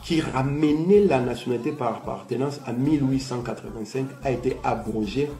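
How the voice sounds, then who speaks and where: A man's voice: medium pitch, a little fast, dark tone. Man explaining the law in an echoey room. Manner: didactic, mixes in Lingala phrases. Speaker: commentator. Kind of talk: explanatory commentary. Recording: echoey room with faint music.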